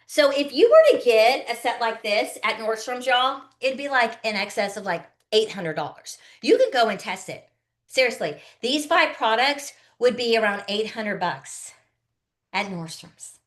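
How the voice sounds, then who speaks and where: Woman, early fifties, slightly high voice, chatty, folksy, casual product demo. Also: sing-song, a little slow. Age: early 50s. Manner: chatty, folksy, often checking in with listeners. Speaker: skincare consultant hosting a live online demonstration.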